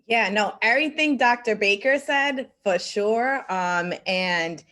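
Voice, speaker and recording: A woman's voice: mid-range voice, slow pace, pushed and bright. A woman from El Salvador whose first language is Spanish, speaking as a CEO on a video panel, hesitant with many fillers and restarts. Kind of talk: virtual panel discussion. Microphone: video call.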